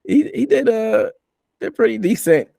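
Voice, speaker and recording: A man's voice: sits high, animated, unhurried, creaky, warm tone, no room sound, studio quality. A man hosting a sports podcast, talking casually through a recap and putting questions out for discussion. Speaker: podcast host.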